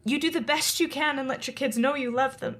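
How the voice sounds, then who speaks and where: Actress as a high-voiced, sentimental sister. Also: female voice, fairly quick, a bit bright. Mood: sentimental, defensive. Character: sentimental married sister, chatty young mother. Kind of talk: radio play acting.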